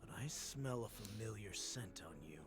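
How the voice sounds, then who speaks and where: An actor playing a curt, weary starship pilot in a video game, with a gravelly voice. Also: male voice, fairly low pitch, lively intonation, moderate pace, natural voice. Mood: guarded, curt. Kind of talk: scripted video game voice acting. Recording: game audio under loud background music.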